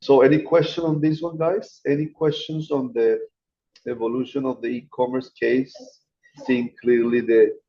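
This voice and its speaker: A man giving a guest lecture over a video call in a relaxed, chatty teaching manner. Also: medium pitch, dull audio. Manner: casual, chatty teaching, addresses students as guys. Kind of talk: informal online lecture.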